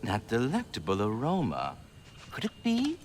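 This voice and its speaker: A man's voice: medium pitch, huge pitch swings, normal speed, soft background music. A man voicing a fussy, polite squirrel in a fantasy video game, with florid, theatrical and courteous phrasing. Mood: fussy, genteel. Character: fussy, polite, verbose giant squirrel gourmand.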